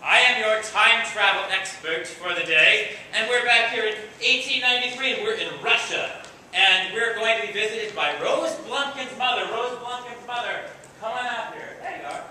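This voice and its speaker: High-voiced man hosting in a very echoey hall. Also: normal speed, sharp tone. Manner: encouraging, playful, gives simple step-by-step instructions to children. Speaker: drama director and narrator hosting a children's school play. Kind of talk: informal live announcing, coaching young children on stage. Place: large echoey room before an audience. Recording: echoey hall from far off, clear background noise.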